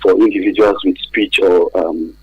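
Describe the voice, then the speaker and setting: A man with a Nigerian accent speaks in a low, husky voice. He explains things haltingly, as an expert guest would in a radio interview. The sound is close and dry. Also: steady tone, dry recording, highs cut off. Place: radio studio.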